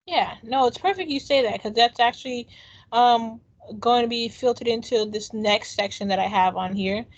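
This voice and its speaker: Woman cohost talking earnestly, kinda high voice, dull muffled audio, lots of ums. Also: normal speed. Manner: earnest, reflective, many ums. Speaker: podcast co-host. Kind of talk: casual chat.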